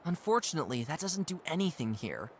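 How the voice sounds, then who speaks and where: Curious animal hero, game voice, earnest, really up and down, mid pitch, bit of background noise. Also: brisk. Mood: puzzled, resigned. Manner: wondering, earnest, expressive observations to self. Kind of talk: cartoon adventure game voice acting.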